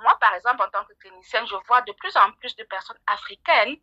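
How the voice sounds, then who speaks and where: A woman's voice: on the high side, lively intonation, a little fast, sharp tone, no room sound, dull audio. A middle-aged woman, explaining things conversationally as a psychologist guest in an interview. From Cameroon.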